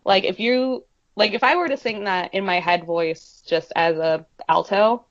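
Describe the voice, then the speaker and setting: A woman's voice: measured pace, no room sound. A woman co-hosting a fan podcast, chatting enthusiastically and stretching words for emphasis. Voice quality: deep singing voice. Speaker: podcast co-host. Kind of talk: casual fan chat. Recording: dull, dry lo-fi audio.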